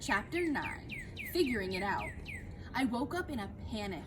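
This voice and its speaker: A woman teacher speaking and reading aloud to children in an animated, affectionate, expressive way. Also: high-pitched, normal speed. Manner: animated, addressing young listeners affectionately. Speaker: teacher reading a story to pupils. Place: outdoors in a backyard. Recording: clear background noise, outdoor ambience.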